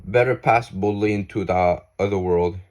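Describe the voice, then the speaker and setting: Low-voiced man reading an essay. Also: a little mellow, highs cut off. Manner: halting reading with frequent restarts and fillers.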